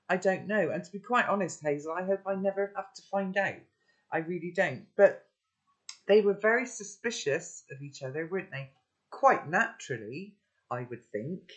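An older woman reading a children's novel aloud into a laptop webcam at home, then musing gently with rhetorical questions. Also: lowish voice. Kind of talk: read-aloud storytelling with chat. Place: home room, indoors.

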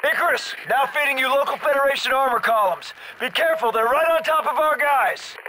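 An actor playing a gruff, commanding middle-aged special forces soldier in a military shooter game, giving clipped, urgent orders. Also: male voice, extremely high pitch, fairly quick, noisy background.

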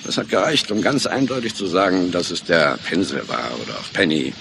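An actor in a radio crime play voicing a gruff, sardonic, authoritative senior detective who doubts what he is told. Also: sits low, sing-song, fairly quick, noisy background, exaggerated voice. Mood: gruff, matter-of-fact. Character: gruff, sceptical senior police detective, defensive of the force. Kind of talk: German radio play dialogue.

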